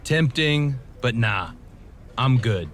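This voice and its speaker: An actor playing a stubborn pro wrestler hero in a video game cutscene, talking in a dry, plain way. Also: male voice, mid-range voice, animated, takes it slowly, fairly bright tone, slight background noise. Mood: wry.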